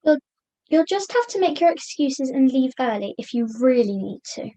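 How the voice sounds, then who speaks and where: A woman's voice: sits high, sing-song, moderate pace, dark tone. A young woman acting a polite, flustered puppet who chairs a meeting in an amateur puppet show. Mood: orderly, hesitant.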